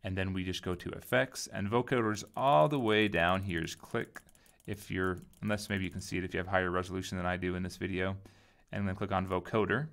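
A man giving a casual software tutorial, informally thinking aloud as he goes. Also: low-pitched, fairly even intonation, fairly quick, vocal fry. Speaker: tutorial host.

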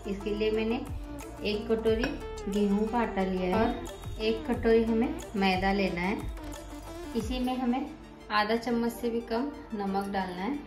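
A woman hosting a cooking channel, explaining each recipe step in a plain, steady instructive voice-over. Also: on the high side, unhurried, long pauses, echoey room, over music.

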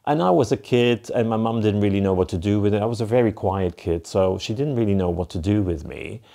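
Flemish guy around 50s, low mellow voice, casual rambly q&a, lots of "to be quite honest", lapel mic at home. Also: fairly quick, gravelly. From Belgium (Flanders). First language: Dutch. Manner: chatty, filler-heavy, repeats "to be quite honest". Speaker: van life vlogger. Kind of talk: casual Q&A to camera. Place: cosy living room, indoors.

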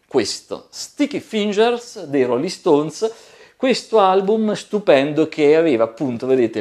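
A man in his forties hosting a casual product review, playful and expressive, drawing out words for emphasis. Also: a little high. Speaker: tech reviewer, video host. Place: small home studio room with desk and monitors.

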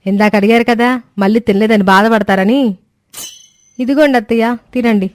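A woman's voice: on the high side, steady tone, moderate pace, lightly acted. A woman playing an eager, boastful and scheming young daughter-in-law in a cartoon moral story. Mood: coy, wanting.

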